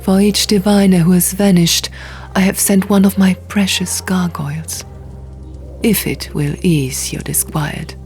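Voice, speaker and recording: Cold abbess acted by a woman narrator for fantasy audiobook: hushed, condescending, slow, with a creaky edge over music. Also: on the low side, fairly even intonation. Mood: coolly dismissive. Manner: quiet, measured, condescending. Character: stern, cold, dismissive abbess, scolding quietly.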